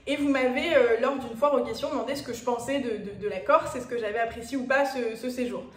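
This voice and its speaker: Parisian girl in her 20s vlogging, high pitched, kinda roomy echo, mic a bit far off. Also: fast talker. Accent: Parisian French. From France. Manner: chatty, direct address to viewers, frequent fillers. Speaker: YouTuber. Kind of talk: casual personal vlog talk.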